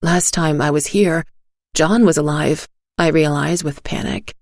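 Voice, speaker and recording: A woman narrating a dark fairy tale in the first person, quiet and tired, with music under her voice. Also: low-pitched, fairly even intonation, unhurried, no room sound, studio quality.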